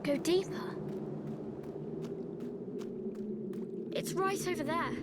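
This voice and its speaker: A woman's voice: very high-pitched, moderate pace, heavy background noise. An actress playing an eerie, spellbound female guide in a video game, beckoning with awe. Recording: loud music over the voice.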